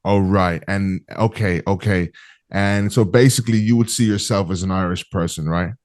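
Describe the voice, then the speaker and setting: Deep-voiced earnest male host. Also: steady tone, darkish tone, dry recording, clean studio sound. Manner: earnest, measured, with frequent fillers. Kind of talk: call-in interview show.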